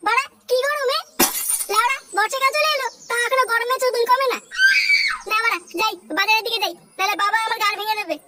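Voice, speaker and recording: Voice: steady tone, fast talker, heavy background noise. পিচ বাড়ানো গলায় একজন অভিনয়শিল্পী কার্টুন কমেডির এক অলস, ঘ্যানঘেনে, গালিবাজ তরুণ ছেলের চরিত্র করছে।. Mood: irritated grumbling. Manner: brash, grumbling, crude slangy swearing. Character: whiny foul-mouthed slacker young son, reluctant bridegroom. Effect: pitched-up cartoon voice. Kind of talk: exaggerated cartoon comedy dialogue. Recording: distant sound under loud music.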